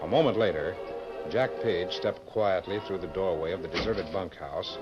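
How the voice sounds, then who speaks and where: Deep-voiced old-time radio western narrator, a man. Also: moderate pace, close to an everyday voice. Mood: dramatic, measured. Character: formal storyteller narrating a western adventure. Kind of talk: 1940s-style radio drama narration. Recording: muffled vintage radio recording, music behind.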